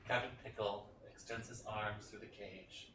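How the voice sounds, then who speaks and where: Lowish male narrator, roomy and distant. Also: steady tone, moderate pace, bright, forceful tone. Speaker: stage directions reader. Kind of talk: scripted narration at a staged play reading. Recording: roomy, distant, clearly noisy.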